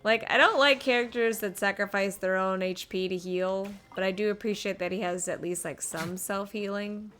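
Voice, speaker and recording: Bright-voiced woman streaming a game. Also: medium pitch, moderate pace, few pauses. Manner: expressive, exclamatory, talks to the game characters. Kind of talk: casual reactive game commentary. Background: game music.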